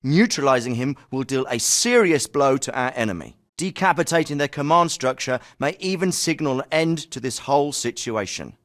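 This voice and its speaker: An actor voicing a stern special forces commander. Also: male voice, mid-range voice, fairly bright tone, close to an everyday voice. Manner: measured, clipped military briefing delivery. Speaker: military commander giving briefings. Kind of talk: video game voice acting. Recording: clean studio voice recording.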